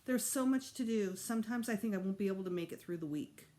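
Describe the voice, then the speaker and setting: A woman's voice: medium pitch, quick pace, warm tone, close to an everyday voice. A woman voicing a busy, frank mother in a storybook, with an emphatic, explanatory manner. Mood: tired, upset.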